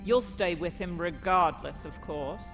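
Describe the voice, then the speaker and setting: A woman playing a curt, skeptical starship captain in a sci-fi audio comic, brisk and commanding. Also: on the low side, a bit bright. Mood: curt, dismissive. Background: prominent music.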